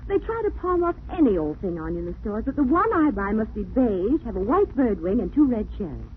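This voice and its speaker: An actress playing a fussy, determined young society lady in a 1940s radio drama, with a crisp, chiding manner. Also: a little high, sing-song, fairly quick. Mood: fussy, exasperated. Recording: tinny, noisy vintage radio recording.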